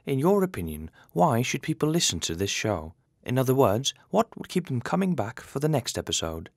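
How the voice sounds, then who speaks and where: A man's voice: medium pitch, sing-song, normal speed, no echo, clean studio sound. A man hosting an interview, reading out scripted questions. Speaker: interviewer and host.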